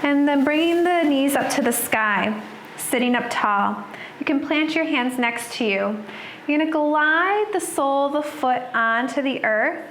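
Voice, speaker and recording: A woman yoga instructor guiding a class in a soothing, unhurried manner, leaving pauses between her cues. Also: high voice, a bit bright. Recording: roomy echo with background noise.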